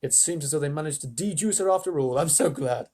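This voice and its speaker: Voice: medium pitch, fast talker, dark tone, slightly rough. A storyteller voicing an eccentric old male chocolate factory owner, emphatic and exclamatory, in a storybook reading. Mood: gleeful, brisk.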